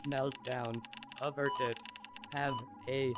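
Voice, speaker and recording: A woman playing a cool, emotionless computer warning system, measured and detached, in a game. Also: extremely low pitch, little pitch movement, exaggerated voice. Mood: detached, robotic. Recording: loud noise and beeping behind.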